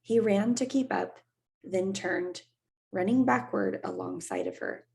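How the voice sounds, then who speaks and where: Slow, gravelly woman reading a story aloud. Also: medium pitch. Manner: steady, unhurried reading voice. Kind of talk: read-aloud storybook narration.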